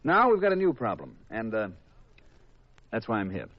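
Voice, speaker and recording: A muffled vintage radio drama recording of a cynical insurance investigator. He holds forth in a lowish voice with very lively intonation. His manner is long-winded and exasperated, like a weary lecturer. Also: moderate pace, no room sound, exaggerated voice. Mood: exasperated, sardonic. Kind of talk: 1950s radio drama acting.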